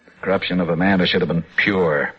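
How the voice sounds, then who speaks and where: A sadistic villain in a muffled old radio drama with music behind him. His husky, lowish voice is mocking and pompous, relishing every precise word as he gloats over the chessboard. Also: fairly even intonation, fast. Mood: smug, contemptuous. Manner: mocking, theatrical, precise formal diction.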